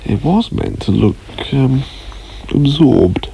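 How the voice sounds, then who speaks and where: An actor playing an urbane, affectionate, drily jealous husband in a radio drama, measured and playfully ironic. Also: male voice, mid-range voice, expressive, vocal fry, dark tone, a little hiss or hum, low-quality recording. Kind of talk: naturalistic radio drama acting.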